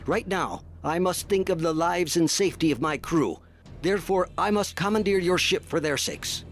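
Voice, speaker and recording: A man's voice: high-pitched, moderate pace, soft background music. A man playing a stern, dutiful nineteenth-century naval captain in an amateur audio drama. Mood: resolute. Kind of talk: fan audio drama acting.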